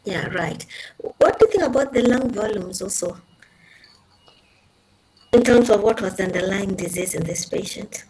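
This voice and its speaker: A woman's voice: medium pitch, expressive, moderate pace, long pauses, darkish tone. Distant woman tutor, faintly noisy recording. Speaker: teacher questioning a trainee. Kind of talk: informal tutorial discussion. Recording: distant microphone with background noise.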